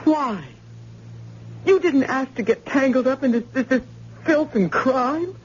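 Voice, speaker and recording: A woman's voice: high voice, animated, measured pace, slightly rough, full character voice. An actress playing a frightened wife who pleads with her wounded husband, in an old radio drama. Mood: pleading. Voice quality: pleading and emotional. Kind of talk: vintage radio drama acting. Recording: dull lo-fi old radio recording with faint hiss.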